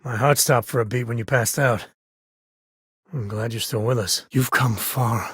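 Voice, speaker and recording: An actor voicing a wry, easygoing space ranger and father in a video game, with a husky, raspy voice and a folksy drawl. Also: mid-range voice, fairly even intonation, measured pace, a bit bright, no echo, clean studio sound. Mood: warm, sincere. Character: laid-back frontier space ranger, doting father, wry and plainspoken. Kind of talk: video game character dialogue.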